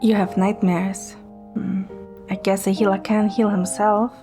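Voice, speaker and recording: A woman playing a playful, caring young woman who narrates a romantic story in the first person, in a gentle, soft manner. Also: mid-range voice, flat delivery, measured pace, over music, close to an everyday voice. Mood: light, playful. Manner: gentle, soft, sometimes whispering.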